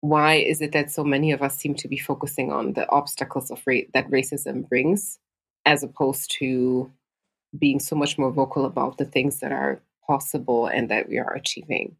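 A woman's voice: very deep voice, little pitch movement, normal speed, creaky, breathy edge. A woman hosting a podcast, asking long thoughtful questions in a conversational interview. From Africa. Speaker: podcast host.

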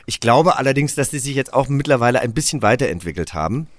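A man's voice: mid-range voice, animated, fast, no echo. A man co-hosting a podcast, explaining things in a casual, informed way with somewhat hesitant phrasing. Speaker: podcast co-host. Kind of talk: casual chat. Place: at home, remote from the studio.

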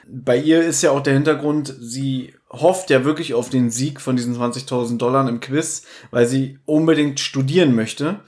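A man's voice: mid-range voice, steady tone, normal speed, a little mellow. A man co-hosting a podcast, chatting casually and animatedly as he reviews audio dramas. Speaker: podcast co-host.

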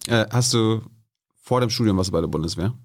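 A male host in a studio asking an interviewee quick questions, often restarting his sentences. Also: on the low side. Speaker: podcast host. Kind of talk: interviewing a guest.

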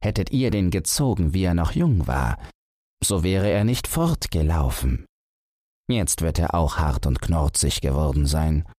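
A man's voice: deep voice, animated, measured pace, dark tone, no room sound, studio quality. A man voicing a clever grown son who has become a proud master thief. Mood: probing.

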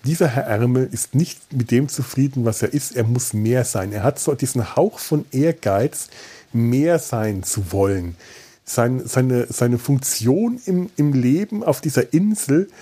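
A man speaks in a mellow, dark voice with a husky grain. He delivers a casual podcast monologue, circling back on his points with wry, overblown repetition. His phrasing is halting, full of doubled words and fresh starts. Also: mid-range voice, animated. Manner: wry, exaggerated repetition for comic effect; halting, often restarts and repeats words. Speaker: podcast host. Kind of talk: casual spoken film review, loose monologue.

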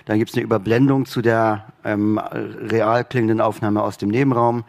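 A man with a fairly low voice explains step by step how he edited the sound of a radio piece. He talks in a plain, explanatory way, with frequent pauses and fillers. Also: flat delivery, creaky, darkish tone. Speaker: workshop participant, sound editor. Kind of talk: explaining his sound editing.

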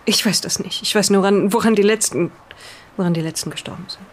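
A woman's voice: mid-range voice, a little hiss or hum, lightly acted. A woman playing a hesitant, bewildered reader of an old diary, reading aloud and commenting in an audio drama. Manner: halting, breaks off to comment on the reading.

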